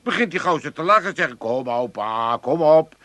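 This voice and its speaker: A cranky old Amsterdam grandpa. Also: male voice, on the high side, lively intonation, moderate pace, sharp tone, no echo. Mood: indignant. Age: older. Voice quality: grumbling, rambling storyteller. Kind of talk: radio comedy play acting.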